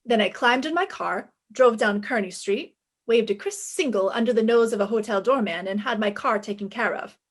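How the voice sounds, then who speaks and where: A woman playing a high-voiced, wisecracking lady detective. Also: normal speed, close to an everyday voice. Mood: wry narrating. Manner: wisecracking, breezy. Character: witty, flirtatious, self-assured female private eye. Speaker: lead actress and first-person narrator. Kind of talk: 1950s radio detective play acting.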